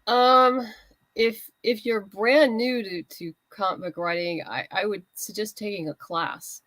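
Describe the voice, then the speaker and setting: A woman's voice: mid-range voice, steady tone, unhurried, a bit bright, no echo. A woman writer chatting as an interview guest, candid and conversational, explaining things in loose fragments. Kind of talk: casual interview chat.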